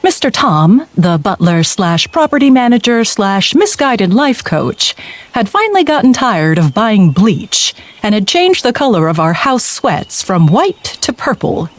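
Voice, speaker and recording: Woman audiobook narrator, wry and animated, medium pitch. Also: unhurried. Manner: wry, lively storytelling. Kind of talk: first-person audiobook narration.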